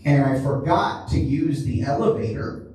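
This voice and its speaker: A man's voice: on the high side, moderate pace, darkish tone, a little hiss or hum. Loose, rambling male stand-up comic. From Cuban family. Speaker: stand-up comedian. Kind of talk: stand-up routine. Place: small comedy theater. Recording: echoey room from far off.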